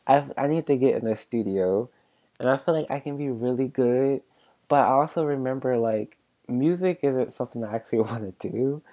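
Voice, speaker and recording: Soft-voiced young man rambling casually. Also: medium pitch. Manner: halting, filler-heavy, many restarts and likes. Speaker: podcast host.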